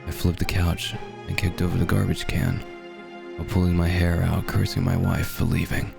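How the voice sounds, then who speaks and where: Deep, gravelly male horror narrator. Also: level tone, moderate pace. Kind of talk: scripted creepypasta narration in the first person. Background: dark background music.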